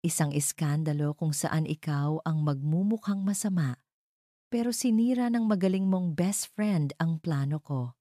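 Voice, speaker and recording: A woman's voice: deep voice, unhurried, mellow, no room sound, clean studio sound. A woman voicing an arrogant, scheming male villain in an audio drama, taunting and speaking with heavy emphasis. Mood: gloating. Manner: taunting, emphatic, spelling words out.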